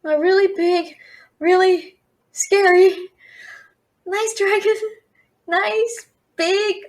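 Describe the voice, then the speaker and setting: A woman's voice: very high-pitched, exaggerated voice. A young woman playing a cheeky, frightened thief who stammers and bluffs in a fantasy audio roleplay. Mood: nervous, resigned. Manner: nervous, stammering, fast-talking bluffer. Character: cheeky, scared young female thief, talking her way out.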